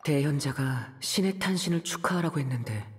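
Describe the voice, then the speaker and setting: A man playing a composed young traveler in a game, speaking calmly and reflectively. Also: fairly high pitch, moderate pace, natural voice. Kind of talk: scripted game character monologue.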